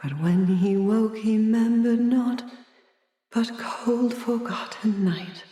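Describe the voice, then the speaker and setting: A woman's voice: slow, warm tone, reverberant, far from the mic. An actress playing an unhinged, haughty woman doctor locked away in an asylum, theatrical and erudite in a video game. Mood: eerie, singsong reciting. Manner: theatrical, erudite, haughty, swinging from whispers to shrill outbursts. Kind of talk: dramatic video game character acting.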